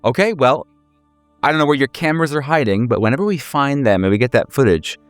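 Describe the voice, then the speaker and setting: A man's voice: medium pitch, lively intonation, quick pace, no echo, faint music behind, pitched above the performer's own voice, lightly acted. A man voicing a coy, playful woman who teases and mock-scolds, in a tabletop roleplaying game. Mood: teasing. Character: coy, playful, teasing woman under witness protection.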